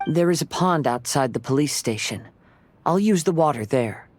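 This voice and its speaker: A man voicing a calm, polite young samurai in a video game, soft-spoken, measured and thoughtful. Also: on the high side. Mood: measured, solemn. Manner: measured, courteous, formal. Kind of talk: English video game cutscene acting. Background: soft game music.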